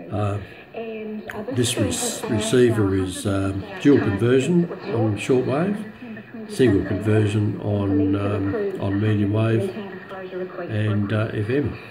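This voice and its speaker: A man with a fairly low voice walks through a piece of equipment in a casual, unscripted way. He speaks haltingly, pausing often as he goes. It is a home camera recording made indoors, with clear chatter behind him. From Australia. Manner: halting, hesitant delivery with frequent pauses. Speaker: radio enthusiast presenting a receiver. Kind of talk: casual unscripted product demonstration.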